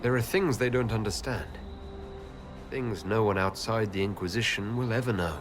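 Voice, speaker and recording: An actor playing a reserved, dry-witted elven hero in a fantasy game, with an understated delivery. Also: male voice, on the low side, normal speed, over music, lightly acted. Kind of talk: fantasy video game acting.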